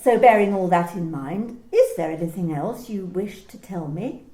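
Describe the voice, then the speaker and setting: A woman's voice: lowish voice, lively intonation, moderate pace, warm tone, soft background music, close to an everyday voice. A woman acting an officious, politely menacing manager in an amateur radio play, with formal, precise, probing questions. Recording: roomy, echoey home recording.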